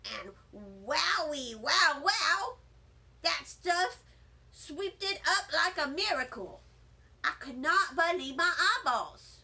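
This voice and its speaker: Woman acting as little first-grade girl, storybook narrator, bright sharp tone, slow pace, dramatic, childlike. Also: high voice, lively intonation, full character voice. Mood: excited. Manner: childlike misspoken grammar, emphatic, dramatic. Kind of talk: children's storybook read-aloud.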